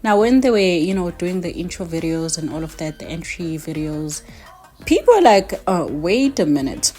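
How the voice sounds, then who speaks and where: A young woman with a South African accent vlogs in a chatty, emphatic way. Her voice sits a little low for a woman. She checks in with her viewers often and leans hard on the points she cares about. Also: sing-song, normal speed, warm tone, soft background music. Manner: chatty, emphatic, frequent "okay?" and "you know". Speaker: YouTuber. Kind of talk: casual opinion vlog.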